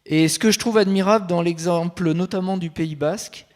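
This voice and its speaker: A man speaking as a consultant on a conference panel, measured and thinking aloud, with frequent fillers and restarts. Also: high-pitched, darkish tone.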